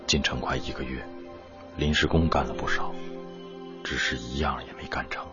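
The inner narration of a weary, brooding teacher in a radio drama. The voice is extremely deep and measured. Loud music plays under a slightly dull recording. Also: male voice, fairly even intonation. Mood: brooding, reflective. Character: weary male teacher narrating his own hardship, reflective, melancholy.

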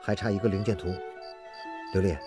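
An actor playing an earnest, dedicated factory engineer in a Chinese radio drama. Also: male voice, on the low side, steady tone, a little fast, over music, lightly acted. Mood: gentle. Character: earnest, dedicated male factory engineer, devoted to his work.

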